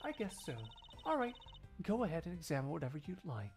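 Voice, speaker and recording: A woman's voice: fairly low pitch, very animated, quick pace. A woman playing a cool, defiant agent with a dismissive, controlled manner in a game fandub. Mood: guarded. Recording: music behind the voice.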